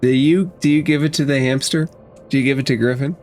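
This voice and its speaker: A man's voice: medium pitch, fairly even intonation, fast, darkish tone, faint music behind. A man narrating a tabletop game casually as game master.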